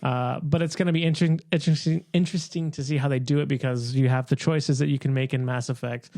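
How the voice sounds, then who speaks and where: A man co-hosting a podcast in casual group chat, giving low-key, hedged opinions. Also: steady tone, quick pace, a little mellow, no echo. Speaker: podcast co-host. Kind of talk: casual group chat about games and films.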